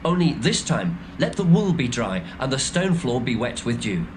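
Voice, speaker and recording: A man's voice: mid-range voice, sing-song, moderate pace, a little hiss or hum, natural voice. An actor playing Gideon, an uncertain Israelite man, in a dramatised Bible reading, speaking with hesitant pleading.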